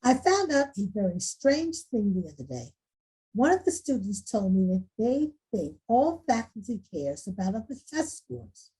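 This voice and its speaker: A woman's voice: a little high, sing-song, normal speed, mellow, breathy edge, full character voice, low-quality recording. A woman playing an earnest, determined school principal making a rousing speech in a screenplay table read. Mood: reflective, candid. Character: earnest, determined female school principal rallying staff and students.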